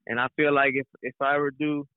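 A man's voice: medium pitch, flat delivery, fast talker, smooth, dry recording. Young man on a tinny phone line. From Mexico, raised in Houston, Texas. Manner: peppers talk with "you know". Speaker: guest, rapper and reggaeton singer. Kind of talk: casual interview chat.

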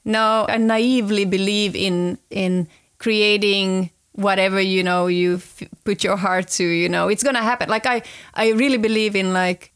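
A woman in her forties talking casually as a podcast guest, with a middling pitch. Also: fairly even intonation, moderate pace, smooth. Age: 40s. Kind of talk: casual chat.

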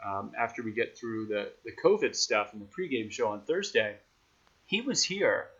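A man speaking as a radio announcer guest, giving thoughtful, measured answers in a casual conversational interview. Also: fairly low pitch, animated, fairly bright tone, husky, highs cut off.

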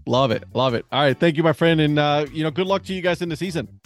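A man from Puerto Rico hosting a podcast, chatty and quick to laugh, often restarting his sentences. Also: a little high, soft background music. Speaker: podcast host. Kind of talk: casual podcast interview.

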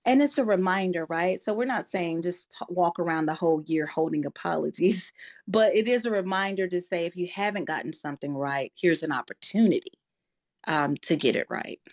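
A woman with a fairly low voice talks in an earnest, reflective way, as a guest in a group discussion. She checks in with her listeners as she explains. The audio is dull and lo-fi. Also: normal speed.

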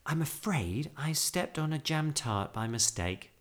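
A man voicing a polite, timid young bear in a children's storybook, softly and earnestly. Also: on the high side, expressive, a little slow, voice pushed up. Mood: apologetic. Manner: soft, earnest, courteous.

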